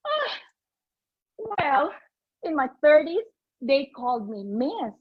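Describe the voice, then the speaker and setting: A woman in her late fifties with a Filipino accent, delivering a humorous contest speech in a theatrical, deliberate manner over an online call. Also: sits high, big pitch swings. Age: late 50s. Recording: muffled online video call.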